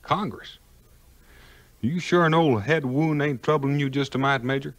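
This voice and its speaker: An actor playing an honest, plain-spoken frontier hero with a backwoods Southern American accent in a 1950s audio play. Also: male voice, medium pitch, moderate pace, natural voice. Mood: wry, firm. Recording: long hall-like reverb.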